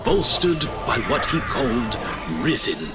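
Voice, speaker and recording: A man playing a grave old archivist and mentor in an audio drama, telling history in a measured, formal, portentous way. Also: medium pitch, sing-song. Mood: storytelling. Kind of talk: audio drama acting, storytelling narration. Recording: very loud music behind voice.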